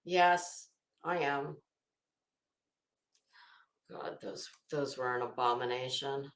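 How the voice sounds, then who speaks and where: A woman's voice: extremely low pitch, slow pace. An American woman hosting a livestream, chatting casually with viewers in a blunt, emphatic, chatty way. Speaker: livestream host. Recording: echoey, roomy sound.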